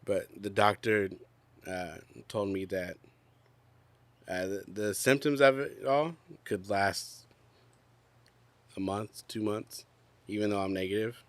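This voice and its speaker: Young man, low voice, congested and coughing, relaxed podcast co-host. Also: takes it slowly, long pauses, no echo. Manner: chatty, rambling with many fillers and laughs. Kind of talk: casual chat. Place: outdoors.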